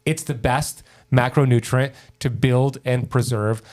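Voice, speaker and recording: A man's voice: mid-range voice, fairly even intonation, measured pace, a little mellow. A man talking as a podcast guest, explaining health ideas in a keen, teacherly way with examples. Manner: enthusiastic, teacherly, gives examples. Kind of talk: explanatory interview talk.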